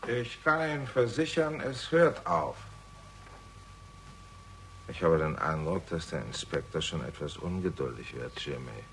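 An actor playing a grave, solemn older father, quietly insistent and measured, in a 1960s German radio crime drama. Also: low voice, animated, heavily acted. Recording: faintly noisy 1960s radio recording.

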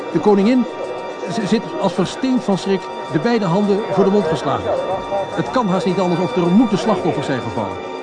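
An actor playing a formal radio reporter commentating live on a state ceremony. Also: male voice, high voice. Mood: shocked, urgent. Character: male radio reporter covering a royal ceremony, formal, later shaken.

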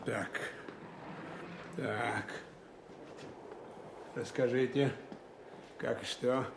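An actor playing a dry, exacting counterintelligence lieutenant colonel, terse and commanding, in a wartime audio drama. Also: male voice, medium pitch, steady tone, background noise. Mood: probing. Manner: terse, commanding, deliberate questioning. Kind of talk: Russian wartime audio drama, narration and dialogue.

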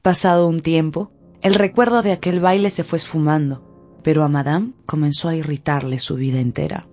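A woman narrator telling a scripted story for a radio drama in an expressive, theatrical way. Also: sits low, moderate pace. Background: faint music.

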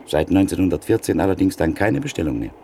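An actor in a classic radio play playing a middle-aged antique print dealer who tells a story in a measured, reflective way. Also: male voice, sits low, sing-song, gravelly, dark tone, a little hiss or hum, heavily acted. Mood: thoughtful. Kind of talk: classic radio drama acting.

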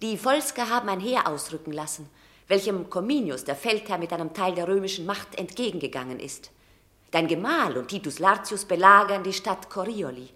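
A woman's voice: mid-range voice, animated, fairly quick, sharp tone. An actress playing a proud, fierce Roman mother in a classical radio drama, declaiming with passion and emphasis. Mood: brisk, confident. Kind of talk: classical stage-style radio drama acting.

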